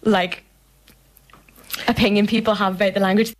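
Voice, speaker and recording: Even-toned young woman. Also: mid-range voice, normal speed. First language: Irish. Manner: hesitant, frequent "like". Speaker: actor interviewed. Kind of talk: thoughtful interview chat.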